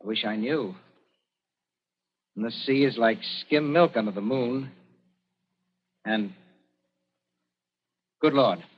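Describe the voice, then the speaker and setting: An actor playing a shrewd, composed young colonial official in a 1940s radio drama, speaking in a measured, dryly ironic way. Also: male voice, mid-range voice. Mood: brooding. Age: young adult. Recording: dull, muffled 1940s radio recording.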